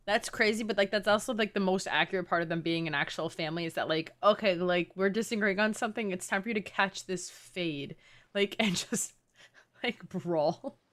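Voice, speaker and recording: A woman's voice: medium pitch, moderate pace, fairly bright tone. A young adult woman giving casual, slangy, joking commentary while livestreaming a game.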